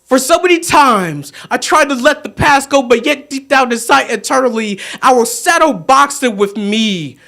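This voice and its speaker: A young man with a strikingly high voice performs spoken word poetry. His delivery is theatrical and impassioned, leaning hard on key phrases in the manner of a dramatic faith testimony. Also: lively intonation, normal speed, creaky, few pauses, sharp tone. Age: 20s.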